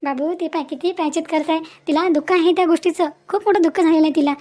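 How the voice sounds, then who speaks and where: A woman's voice: very high-pitched, steady tone, quick pace, dark tone. A woman voicing a pleading, scolding motherly village neighbour in a cartoon drama, insistent and repetitive, with a rural Maharashtrian Vidarbha accent. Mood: persuading. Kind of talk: Marathi cartoon moral drama dialogue.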